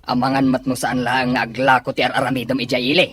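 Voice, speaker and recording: A man's voice: medium pitch, level tone, fast talker, fairly bright tone, dry recording, studio quality, natural voice. A man with an Ilocano accent playing a jealous, stubborn jobless husband who argues and needles in a radio drama. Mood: taunting.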